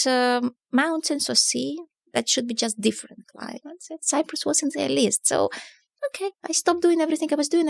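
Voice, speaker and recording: A middle-aged woman from southern Russia with a Russian accent, a newspaper publisher telling her story in a relaxed interview, with many fillers and non-native grammar. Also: high voice, gravelly, no room sound, clean studio sound. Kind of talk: casual conversational storytelling.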